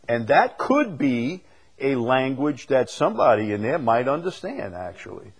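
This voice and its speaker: Preacher with a swinging, emphatic delivery. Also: male voice, mid-range voice, big pitch swings, normal speed, slightly rough, highs cut off. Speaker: preacher leading a Bible study. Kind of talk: teaching with questions from the congregation.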